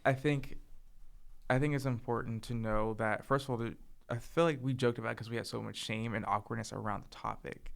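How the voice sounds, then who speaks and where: A young man co-hosting a casual chat, soft-spoken and hesitant, filling his talk with "like" and "um". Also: medium pitch, fairly even intonation, brisk, gravelly. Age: young adult. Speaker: podcast co-host.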